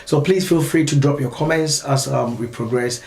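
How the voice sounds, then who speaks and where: A man's voice: medium pitch, moderate pace, vocal fry, a little mellow, roomy sound. A man hosting a phone-in talk show, speaking in a friendly way with frequent ums.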